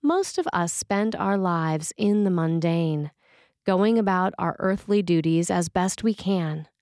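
A woman's voice: lowish voice, lively intonation, slow, darkish tone, dry recording, studio quality. A woman priest reading a scripted sermon for a podcast.

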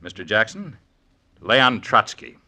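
Sly barroom fixer for vintage radio drama, lowish voice with big swings, cryptic and insinuating, loud music. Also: male voice, big pitch swings, takes it slowly. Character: sly Mexico bar companion, a cryptic go-between. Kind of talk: 1950s radio drama acting.

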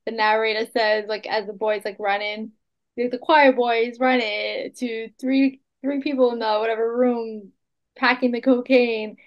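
A young woman co-hosting a podcast, chatting casually in an animated way. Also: fairly high pitch, moderate pace, highs cut off. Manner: animated, laughs easily. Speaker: podcast co-host. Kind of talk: casual chat.

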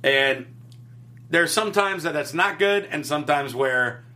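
A man with a bright, forceful tone walks through wrestling matches step by step. He talks in a casual, chatty way, listing each move in short bursts. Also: a little high, expressive. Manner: rapid play-by-play recounting. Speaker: wrestling recap co-host. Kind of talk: casual recap chat.

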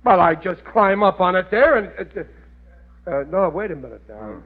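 Casting: dim, stubborn working-class dad, high blustery voice, very narrow tinny radio sound. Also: male voice, very animated, normal speed, full character voice. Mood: stubborn, indignant. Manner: indignant, ungrammatical, boastful. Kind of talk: 1940s radio sitcom acting.

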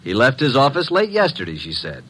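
An actor playing a breezy, wisecracking private detective's sidekick with an American accent, blunt and folksy, in a radio drama. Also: male voice, medium pitch, huge pitch swings, fairly quick, fairly bright tone, slightly rough, exaggerated voice, low-quality recording. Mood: matter-of-fact. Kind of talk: old-time radio drama acting.

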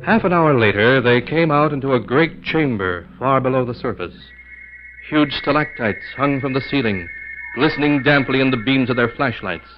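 An actor narrating a terror tale in scripted 1940s radio style, grave, deliberate and dramatic. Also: male voice, mid-range voice, lively intonation, natural voice. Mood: ominous. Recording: dull vintage 1940s radio broadcast. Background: clear music.

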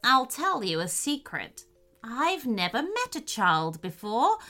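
A kindly old witch from a storybook, acted out by a woman, fussy and chatty. Her pitch swoops about in a bright, exclamatory voice, with music underneath. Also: very animated, slow, heavily acted. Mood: friendly, chatty. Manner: exclamatory, fussy, repeats herself.

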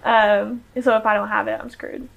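A woman's voice: a little high, flat delivery, normal speed, creaky, narrow, tinny audio. A young American woman, a musician guest on a podcast, chatting casually with many fillers and frequent laughs. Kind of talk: casual chat.

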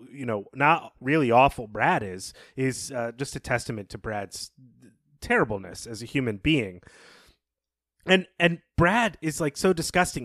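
A man's voice: mid-range voice, a bit bright, no room sound, studio quality. A man co-hosting a podcast, talking through literary analysis in an opinionated, emphatic, conversational way. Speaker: podcast co-host.

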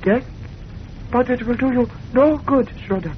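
A slight, elderly Chinese scholar in an old radio play, very high in voice. He speaks formally and carefully, gentle yet brave under threat. The old recording is muffled with faint noise. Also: a little slow. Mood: frightened defiance. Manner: formal, careful speech without contractions. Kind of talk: 1940s radio drama acting.

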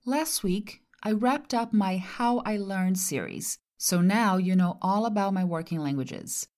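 A woman's voice: mid-range voice, animated, measured pace, darkish tone. Reflective Brazilian-born woman host. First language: Portuguese. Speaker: podcast host. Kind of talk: personal monologue.

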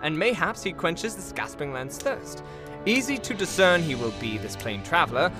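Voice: medium pitch, big pitch swings, moderate pace, music in the background, natural voice. A performer playing a nervous, fawning, bumbling warlock minion in a comedic fantasy audio drama, hesitant and obsequious. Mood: reciting prophecy.

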